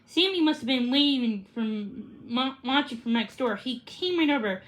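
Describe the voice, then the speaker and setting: A female reader telling a children's story aloud, expressive with dialogue and stumbling over words now and then. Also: sits high, poor audio. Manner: halting, stumbles and rereads words.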